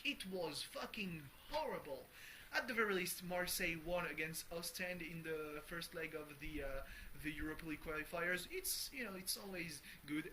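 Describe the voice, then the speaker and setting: Young man, high bright voice, rambling video diary, faint hiss behind. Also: low-quality recording. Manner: rambling, many restarts and fillers, swears casually. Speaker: vlogger. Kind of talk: casual personal video diary.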